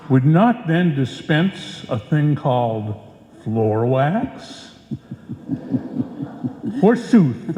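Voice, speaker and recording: A man's voice: fairly high pitch, warm tone. A man playing a pompous, hammy salesman who declaims like a Shakespearean actor in an old-time radio comedy. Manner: theatrical, pompous, grandly declaiming. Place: live performance in a reverberant hall. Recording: echoey hall, dull live stage sound.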